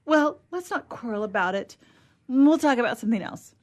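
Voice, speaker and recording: A woman's voice: fairly high pitch, animated, normal speed, lightly acted. A woman acting a petulant, naive young romantic in a comedy radio play, pouting, plaintive and melodramatic.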